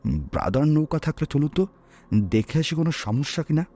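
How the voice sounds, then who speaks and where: A man's voice: on the high side, big pitch swings, moderate pace, warm tone, voice pushed up. A man voicing an insistent, bossy village schoolteacher in a dramatized ghost story, speaking in a pressing, conversational way. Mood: urging. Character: insistent male village schoolteacher, slightly older, bossy and testy. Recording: music bed under the voice.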